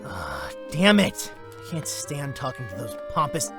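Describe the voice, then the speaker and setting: A man's voice: mid-range voice, wildly swooping pitch, measured pace, music in the background. A man playing a wry, exasperated young merchant heir and older brother in an audio drama, given to mock formality. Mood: annoyed.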